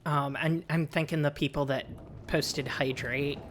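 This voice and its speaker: A woman with a low, androgynous and slightly raspy voice, chatting casually with viewers while she plays and talks game lore. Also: fairly even intonation, a little slow, noisy background. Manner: soothing, chatty, thanks viewers often. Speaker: livestreamer and lore host. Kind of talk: casual livestream chat while gaming.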